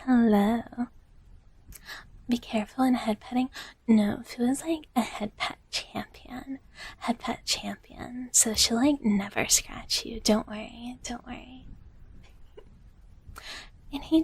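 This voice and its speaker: A gentle dragon big sister, played by a woman in a live ASMR roleplay. Her voice is on the high side and extremely breathy, often dropping into a soft whisper. She speaks slowly and haltingly, sweetly repeating phrases to soothe the listener. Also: dry recording, full character voice.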